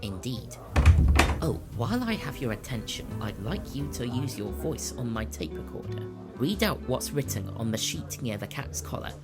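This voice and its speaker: A woman voicing a genial, discreet old village priest in an audio story, measured, understated and creaky. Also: extremely low pitch, sing-song, music in the background.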